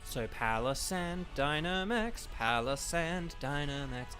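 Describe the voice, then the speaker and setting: Casual game streamer, young man, bright and a touch high, chatty and rambling. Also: expressive, takes it slowly, soft background music. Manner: chatty, rambling with many fillers, reads and answers chat. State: slightly croaky from eating. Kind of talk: casual gaming livestream chat.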